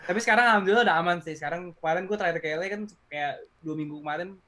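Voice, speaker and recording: A man's voice: sits high, rapid-fire, sharp tone. A young man from Indonesia chatting casually as a guest, rambling and repeating his phrases. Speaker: guest, amateur rapper. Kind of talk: casual chat.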